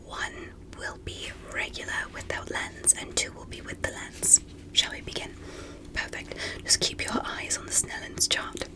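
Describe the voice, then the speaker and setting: A woman whispering in an ASMR medical roleplay as a curious, polite alien doctor, speaking gently and deliberately with soft amazement. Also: low-quality recording. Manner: gentle, deliberate, repetitive, softly amazed at small things. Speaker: ASMR performer playing an examiner.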